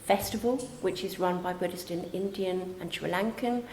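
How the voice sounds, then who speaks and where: A woman's voice: fairly low pitch, moderate pace, a little mellow, slight background noise. A woman on a college's staff, talking conversationally in a promotional interview, recorded in an echoey space. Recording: echoey space, faint background ambience.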